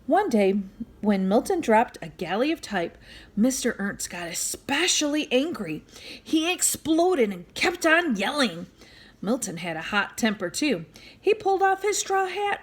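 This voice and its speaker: A woman reading a children's book aloud as an expressive storyteller, speaking directly to young listeners. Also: a little high. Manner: animated, addresses young listeners with questions and asides.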